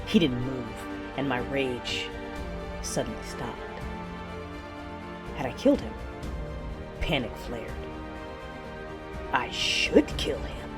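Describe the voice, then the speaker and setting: A woman playing a betrayed, commanding dark-side warrior in an audio drama, narrating in the first person with intense, deliberate menace. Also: extremely low pitch, over loud music. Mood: shaken, controlled.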